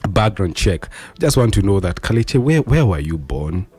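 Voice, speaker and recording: A man's voice: deep voice, very animated, moderate pace, slightly rough. A man with a Kenyan accent hosting an interview, asking questions, affirming often and laughing along. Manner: warm encouraging listener, frequent laughs and affirmations. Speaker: host. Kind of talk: interview chat.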